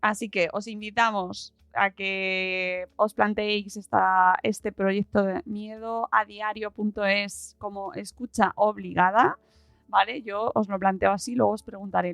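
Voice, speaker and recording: A woman's voice: mid-range voice, fairly even intonation, moderate pace, gravelly, fairly bright tone, no room sound, faint music behind. A middle-aged woman with a Spain accent chatting casually and informally as a podcast host. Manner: chatty, informal, laughs often. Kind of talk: casual chat.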